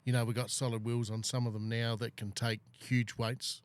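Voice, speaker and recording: A man's voice: mid-range voice, level tone, moderate pace, clean tone, no echo. A middle-aged man hosting a casual podcast chat, talking in long rambling runs full of fillers. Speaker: podcast host.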